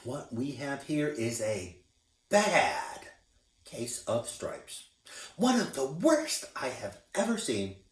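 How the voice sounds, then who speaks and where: A man voicing a kind, gentle old woman in a storybook read-aloud, speaking softly and sometimes in a whisper. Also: medium pitch, sing-song, slow pace, reverberant.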